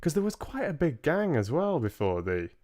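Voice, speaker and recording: A man's voice: a little high, big pitch swings, normal speed, a little mellow. A man in his twenties chatting casually as he hosts a live-streamed book reading from a room at home. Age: 20s. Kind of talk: casual livestream chat and reading aloud. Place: small home room, indoors.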